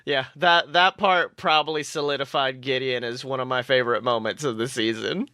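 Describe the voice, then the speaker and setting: A man co-hosting a podcast, chatting casually and excitably as a fan, swearing freely. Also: medium pitch, moderate pace, no echo, studio quality. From southern United States. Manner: informal, profane, excitable fan talk. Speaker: podcast co-host.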